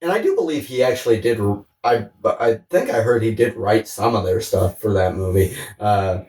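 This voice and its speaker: A man's voice: fairly low pitch, animated, moderate pace, darkish tone. Rambling jokey man, echoey and distant. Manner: rambling, jokey, swears casually. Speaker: podcast co-host. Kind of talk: casual comedic banter about films. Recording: roomy echo, distant from mic.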